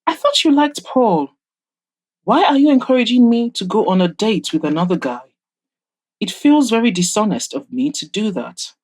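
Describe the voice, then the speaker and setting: A woman's voice: mid-range voice, very animated, moderate pace, darkish tone, voice pushed up. A woman voicing a proud, guarded woman in an audio drama, speaking in pointed, formal, exasperated phrases. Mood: defensive. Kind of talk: audio drama character dialogue.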